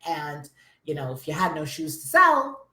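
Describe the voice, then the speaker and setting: A hoarse woman with a summer cold, a business coach chatting energetically to viewers on a live stream. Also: low-pitched, unhurried, roomy sound. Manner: energetic, chatty, addresses viewers as you guys.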